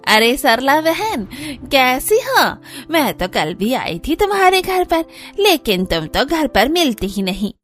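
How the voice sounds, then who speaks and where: Woman as a lively, chatty village neighbour. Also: high-pitched, takes it slowly, hardly any pauses, faint music behind, pitched above the performer's own voice, full character voice. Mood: chatty, teasing.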